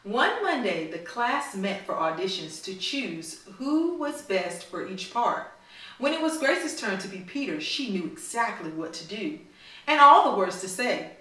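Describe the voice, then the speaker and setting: A woman's voice: medium pitch, sing-song, unhurried, pushed and bright. A woman reading a picture book aloud to children and putting questions to them, with an encouraging, teacherly manner. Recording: very echoey room, far from mic.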